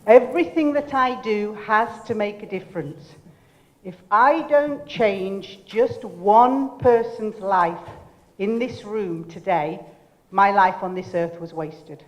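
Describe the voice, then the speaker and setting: An older woman giving a motivational keynote speech, loud and commanding, directing the audience. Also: medium pitch, slow. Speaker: keynote speaker. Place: lecture hall. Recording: lecture hall reverb.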